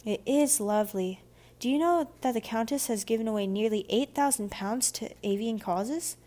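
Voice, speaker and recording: A woman's voice: fairly high pitch, normal speed, mellow, natural voice. A woman voicing a kind, enthusiastic young girl in a children's audiobook, earnest and friendly. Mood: admiring. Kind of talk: children's audiobook character dialogue.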